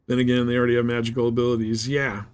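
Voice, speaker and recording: A man's voice: medium pitch, flat delivery, rapid-fire, clear, resonant tone. A man chatting informally and colloquially with viewers while he plays a game on a livestream. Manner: laid-back, informal, colloquial. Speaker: live game streamer. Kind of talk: casual gameplay chat with stream viewers.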